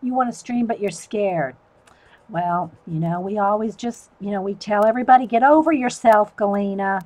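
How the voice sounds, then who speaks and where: A woman's voice: mid-range voice, normal speed, a little mellow, low-quality recording. A middle-aged woman, chatting casually with viewers while she draws, laughing often. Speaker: artist livestreamer. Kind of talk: casual chat while drawing.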